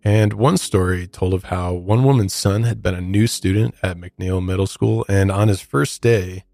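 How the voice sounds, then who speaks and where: Podcast host, American man, low register, conversational true crime storytelling, dry studio sound. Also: normal speed, gravelly, mellow, no echo, soft background music. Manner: conversational, informal asides.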